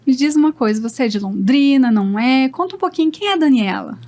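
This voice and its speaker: High-voiced Brazilian woman podcast host. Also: expressive, fast, darkish tone. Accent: Brazilian Portuguese. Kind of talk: casual conversational interviewing.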